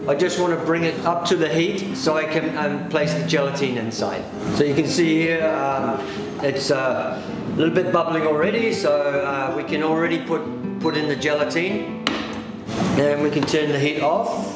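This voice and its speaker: A middle-aged man from England and New Zealand gives a step-by-step cooking demonstration as a pastry chef, explaining in a hesitant, unhurried way. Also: fairly high pitch. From England-born, raised in New Zealand. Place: indoor demonstration kitchen. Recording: very echoey room with loud music behind. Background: loud background music.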